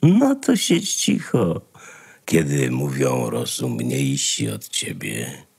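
Guy doing a snooty pompous cat, slow disdainful swoopy radio play voice. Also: a bass voice, huge pitch swings, creaky, a little mellow, breathy edge, voice pushed down, full character voice. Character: pompous, haughty male house cat. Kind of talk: fairy-tale radio play acting.

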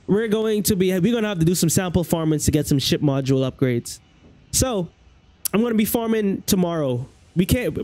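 Young Bahamian man, a game streamer on a headset at home, with a fairly high voice and a loose, repetitive way of talking. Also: a little mellow. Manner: loose, rambling, repeats phrases. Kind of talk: casual livestream chat with viewers. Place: small room at home, indoors.

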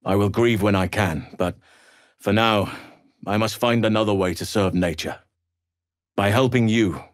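A man playing a wise old elf druid in a video game, protective and solemn, speaking in measured, earnest, formal phrases. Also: lowish voice, no echo, clean studio sound, close to an everyday voice. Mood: grave. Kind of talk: video game character dialogue.

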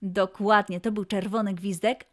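A woman presenting a children's quiz as host, posing questions and answers in a clear way for young listeners. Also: medium pitch, fairly even intonation, moderate pace.

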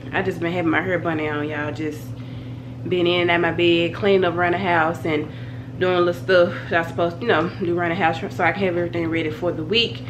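A young woman with a cold, chatting casually to her phone camera at home with a congested, nasal voice. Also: lowish voice. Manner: chatty, rambling, addresses viewers as y'all. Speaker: family vlogger. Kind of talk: casual vlog chat to camera. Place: bedroom at home, indoors. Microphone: phone camera held at arm's length. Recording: noisy home phone recording.

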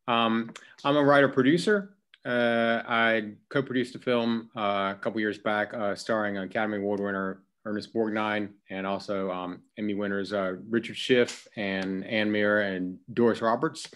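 Low flat-voiced man pitching himself. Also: smooth. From rural North Carolina. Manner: halting, many fillers. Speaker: writer-producer. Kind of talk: self-introduction pitch. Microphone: video call.

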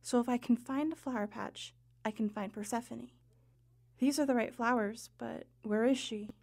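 A woman's voice: fairly high pitch, fairly even intonation, normal speed, creaky, a little mellow. A woman playing a grieving, guarded heroine of ancient Greece in an audio drama, earnest and hesitant. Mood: searching.

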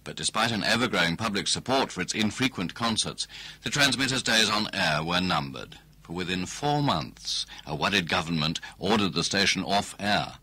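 Guy narrating a radio play, husky bright voice, kinda low. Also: animated, normal speed. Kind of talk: scripted radio drama narration.

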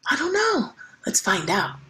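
A woman teacher reading a picture book and talking to young children in an expressive, deliberate, child-directed way. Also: sits high. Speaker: schoolteacher reading to pupils. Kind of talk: picture-book read-aloud and talk to children.

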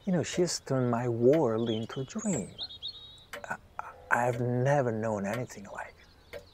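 An actor playing a weak-willed, lovestruck writer in an audio drama, earnest, halting and pleading. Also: male voice, lowish voice, noisy background. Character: weak-willed, lovestruck male writer begging his mistress.

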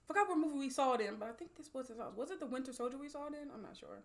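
A woman in her twenties giving casual, slangy, animated reaction commentary and swearing freely. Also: sits high, very fast, faint music behind. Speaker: movie reaction host. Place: small indoor room set up as a streaming studio.

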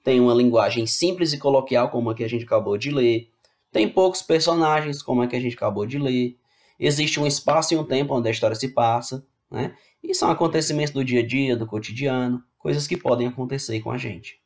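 A male teacher at a middle pitch talks through a slide lesson. He reads each point aloud and then explains it again in everyday words. He keeps checking whether the students are following along. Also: a little fast. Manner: explains casually, checks in with "né?" and "tá bom?". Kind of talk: online class lecture over slides.

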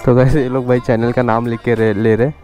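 A man's voice: mid-range voice, flat delivery, brisk, music in the background. A young man chatting casually to viewers as a motovlogger, outdoors on a road. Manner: chatty, addresses viewers as guys and brother. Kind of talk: casual vlog chat.

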